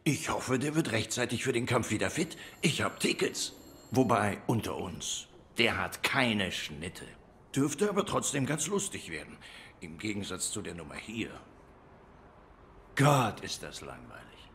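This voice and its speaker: Animated, self-righteous dog policeman. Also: on the low side, measured pace, a little hiss or hum. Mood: chatty, bored. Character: bored, self-righteous dog policeman, chatty sports fan. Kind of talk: video game voice acting, film noir.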